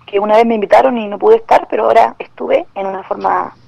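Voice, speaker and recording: Gravelly-voiced woman. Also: mid-range voice, quick pace, warm tone, no room sound, highs cut off. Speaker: podcast guest. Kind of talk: casual group podcast chat.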